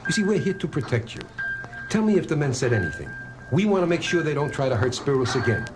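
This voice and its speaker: An actor playing a wry, streetwise New York police lieutenant in a 1970s audio drama, blunt and wisecracking. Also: male voice, a little high, sing-song, fast, loud music behind the voice. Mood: reassuring.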